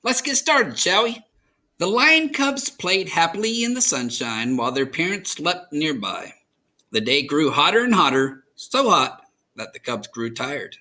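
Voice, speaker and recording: Animated American man reading a children's story. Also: on the high side, a little slow. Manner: expressive, dramatic storytelling. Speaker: children's story reader, host.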